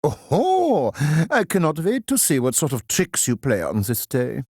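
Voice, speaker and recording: A man voicing a wry, mysterious assassin in a video game, with a husky voice. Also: mid-range voice, wildly swooping pitch, unhurried, a little mellow, no room sound, exaggerated voice. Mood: amused. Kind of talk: video game character lines.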